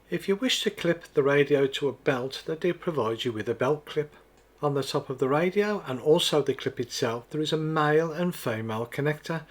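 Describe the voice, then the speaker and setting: A man narrating a scripted product demonstration in a methodical, step-by-step explaining manner. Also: mid-range voice. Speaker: product reviewer.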